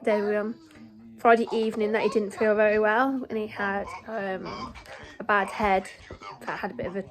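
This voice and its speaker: A woman vlogging a home video diary while ill, her voice croaky and tired, talking plainly with many pauses and ums. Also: medium pitch, fairly even intonation, a crowd in the background, low-quality recording. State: croaky voice from illness. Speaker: family vlogger. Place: family home.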